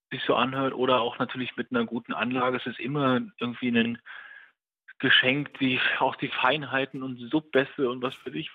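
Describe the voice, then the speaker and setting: A man being interviewed as a writer, speaking reflectively and hesitantly, searching for his words. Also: mid-range voice. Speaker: writer and radio play director interviewed. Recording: muffled phone-like remote line.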